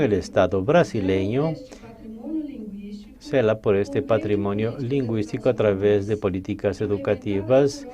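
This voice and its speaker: A man giving a formal prepared speech as a government education representative, reading in a measured way. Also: lowish voice. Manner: reads a prepared statement, measured.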